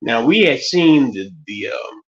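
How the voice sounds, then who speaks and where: A man, talking through a casual stream monologue. His voice sits at an average pitch and wanders through stories with restarts and fillers. The audio is a little dull and lo-fi. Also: expressive, unhurried. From United States. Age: 50s. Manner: rambling, loose, many restarts and fillers. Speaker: stream host.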